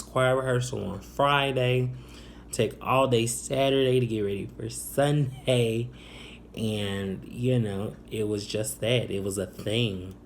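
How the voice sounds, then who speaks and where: A young man from the Chicago area telling a personal story to camera, soft-spoken and hesitant, with many ums and restarts. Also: medium pitch, a little hiss or hum. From Northwest Indiana, Chicago area. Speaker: vlogger.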